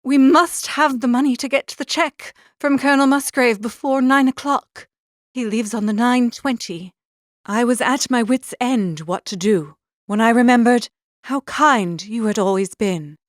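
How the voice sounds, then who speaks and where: A woman's voice: fairly high pitch, measured pace, dry recording, clean studio sound, close to an everyday voice. A woman voicing a demure, anxious young lady in an audiobook, pleading politely. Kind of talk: audiobook character reading.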